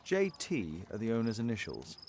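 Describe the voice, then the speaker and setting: An actor playing a composed, analytical British detective in a video game, with a precise, deductive manner. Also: male voice, on the low side, wildly swooping pitch, moderate pace, a little mellow, a little hiss or hum. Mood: focused, analytical. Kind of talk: dramatic video game dialogue.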